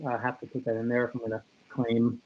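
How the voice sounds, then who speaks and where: A high school teacher originally from Brooklyn, explaining things in a clear, plain way. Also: male voice, completely flat delivery, fast, dull audio. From Brooklyn, New York.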